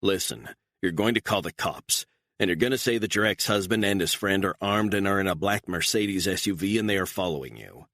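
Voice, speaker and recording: A man voicing a calm, menacing gunman who gives clipped, flat threats. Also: sits low, fairly quick, dry recording, pitched above the performer's own voice. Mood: menacing, cold.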